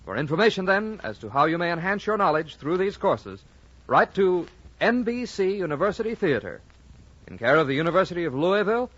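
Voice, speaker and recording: A formal American male announcer with a slightly high, very animated voice. He reads his script in old-time radio style, on a dull vintage recording with music in the background. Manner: formal, measured diction. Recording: old muffled radio broadcast, faint music.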